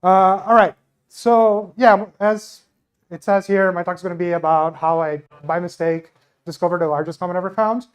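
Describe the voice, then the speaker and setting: A man's voice: high-pitched, steady tone, normal speed, clear, resonant tone. A young man with a Brazilian accent and native Portuguese gives an informal, self-deprecating science talk into a microphone at a live event. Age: young adult. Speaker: astronomy researcher giving a talk. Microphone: handheld microphone.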